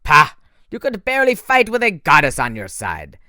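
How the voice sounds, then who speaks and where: A man's voice: a little high, wildly swooping pitch, moderate pace, bright, forceful tone, no room sound, clean studio sound, pitched above the performer's own voice, exaggerated voice. A man putting on a gloating French-accented monster. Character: menacing male monster with heavy French accent.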